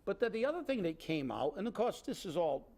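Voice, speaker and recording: A man's voice: high voice, very animated, fairly quick, a little mellow. A man co-hosting a local political talk show, ranting in an indignant, sarcastic way and rambling with restarts. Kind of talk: opinionated casual political chat.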